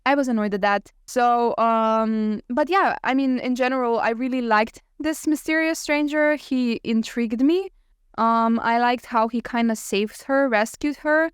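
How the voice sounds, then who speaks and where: Woman, podcast co-host, higher-pitched, close dry sound, casual book chat, animated, opinionated, sweary. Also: a little slow, clean tone, dry recording.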